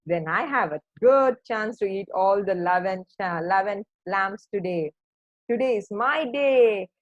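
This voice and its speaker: A woman's voice: medium pitch, lively intonation, a little slow, no room sound, highs cut off, lightly acted. A woman voicing a greedy male storybook wolf who mutters and gloats to himself. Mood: gloating.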